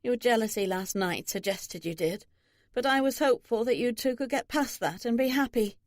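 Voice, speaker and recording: A woman's voice: a little high, normal speed, no echo, studio quality, close to an everyday voice. A woman voicing a loving, guarded older mother in an audiobook novel, speaking earnestly. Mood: sorrowful, gentle.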